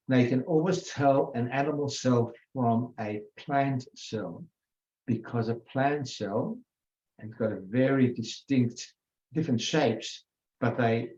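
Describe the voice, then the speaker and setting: Older guy lecturing on biology, slow and halting, lots of ums, mellow voice, echoey dull recording. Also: medium pitch. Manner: halting, many fillers and self-corrections. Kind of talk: informal lecture with slides. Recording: echoey room, dull lo-fi sound.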